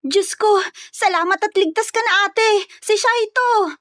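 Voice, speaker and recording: A woman voicing a caring, excitable younger sister who pleads anxiously in a dramatic story. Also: extremely high pitch, unhurried, dry recording, clean studio sound, voice pushed up. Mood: pleading, relieved.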